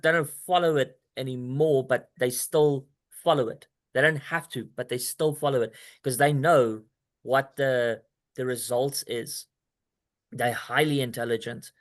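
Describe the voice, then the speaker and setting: A man's voice: medium pitch, fairly bright tone. Male host on a video call, casual and rambling, many restarts. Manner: rambling, restarts often, talks straight to the listener. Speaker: company presenter hosting a video call.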